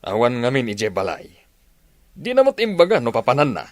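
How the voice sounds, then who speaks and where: Stern, expressive father played by a male actor. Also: medium pitch, brisk, dry recording. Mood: grumbling. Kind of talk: Ilocano radio drama acting.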